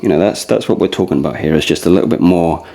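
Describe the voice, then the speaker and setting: Low-voiced man in his thirties, rambling casually. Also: quick pace, vocal fry, mellow. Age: early 30s. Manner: discursive, conversational, addresses listeners directly. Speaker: fitness vlogger leading a core routine. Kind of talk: casual rambling talk to camera.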